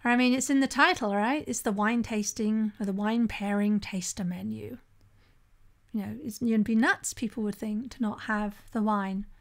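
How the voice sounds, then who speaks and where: A middle-aged woman hosting a solo podcast in a conversational way, often checking in with "right?". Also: a little high. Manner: conversational, often asks "right?", occasional restarts. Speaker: podcast host and sober-living coach.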